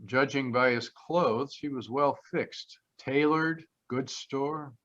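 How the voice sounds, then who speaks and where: Man to play a smooth-talking, teasing radio gumshoe, mid-pitched, unflappable, on slightly muffled call audio. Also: slow pace, fairly bright tone. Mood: businesslike, wry. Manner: breezy, teasing. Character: wisecracking, flirtatious male private detective, cool and unflappable. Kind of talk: old-time radio detective drama acting. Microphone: video call. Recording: dull video-call audio.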